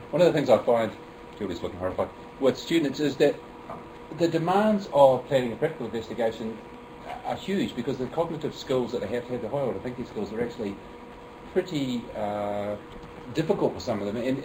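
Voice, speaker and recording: Kiwi man running a teachers' workshop, quick and chatty, recorded off-mic with room noise. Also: mid-range voice, distant mic. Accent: New Zealand. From New Zealand. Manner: chatty and self-deprecating, many fillers and restarts. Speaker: teacher presenting to fellow teachers. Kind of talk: workshop presentation. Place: room with an audience.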